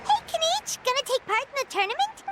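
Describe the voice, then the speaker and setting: A woman's voice: extremely high pitch, big pitch swings, brisk. A woman voicing a tiny, curious, chirpy childlike companion in an anime-style game. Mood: cheerful. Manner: chirpy, exclamatory. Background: loud game music.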